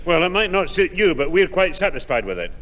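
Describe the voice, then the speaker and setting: A touchy old grandfather. His voice is rather high and swings about with indignation. It is a vintage radio comedy with a long echo. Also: sing-song, a little fast, fairly bright tone. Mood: indignant. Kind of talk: 1960 radio sitcom acting. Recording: echoing 1960 radio recording.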